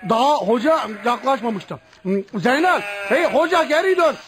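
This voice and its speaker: An actor playing a childlike, naive shepherd with a sweet tooth in a radio play, hesitant. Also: male voice, very high voice, animated, moderate pace, over music. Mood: excited retelling. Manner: naive, hesitant, starts replies with drawn filler sounds. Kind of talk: radio theater acting. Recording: radio play with clear noise.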